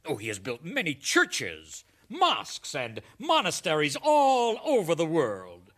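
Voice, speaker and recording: A man's voice: a little high, huge pitch swings, a little slow, fairly bright tone, exaggerated voice. A man playing an effusive, pompous foreign ambassador in a satirical radio drama, hesitating theatrically. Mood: gushing. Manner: theatrical, hesitating with fussy ehs and uhs.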